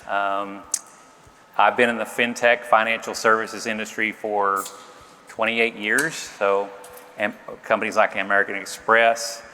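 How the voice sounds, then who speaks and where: A man's voice: fairly low pitch, flat delivery, a little slow, pushed and bright, a little hiss or hum. A man with a Southern Arkansas accent speaking easily on a conference panel, with many fillers and a modest tone. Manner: easygoing, self-deprecating, many fillers. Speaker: panelist, sales manager. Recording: reverberant conference hall.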